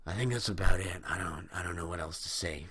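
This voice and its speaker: Young man ranting, husky low voice. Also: quick pace, sharp tone. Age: young adult. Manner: rambling, indignant, frequent restarts. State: dry, strained throat. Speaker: video host. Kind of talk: opinion monologue on video games.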